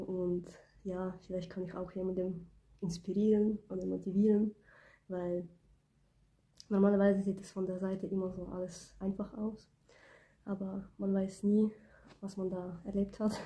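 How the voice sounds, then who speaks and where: Soft-spoken young woman with a cold, from Ukraine. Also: mid-range voice. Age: 20s. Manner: soft-spoken, hesitant, many fillers. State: has a cold. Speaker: beauty business owner. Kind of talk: casual personal vlog. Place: small indoor room, plain wall. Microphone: phone camera close up.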